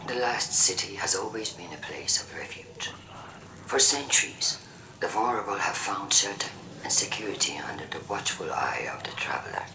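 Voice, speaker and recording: An actor playing a grave, suspicious and proud war-faction leader in a video game, delivering measured, ominous oratory. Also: male voice, sits low, lively intonation, in a whisper, low-quality recording. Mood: ominous warning. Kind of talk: dramatic video game monologue.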